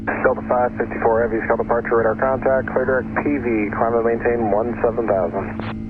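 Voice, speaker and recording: Flat male controller over noisy radio. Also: medium pitch, a little fast. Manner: procedural phrasing. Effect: aviation radio. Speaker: departure air traffic controller. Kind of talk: air traffic control instructions. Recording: tinny radio link, loud background noise.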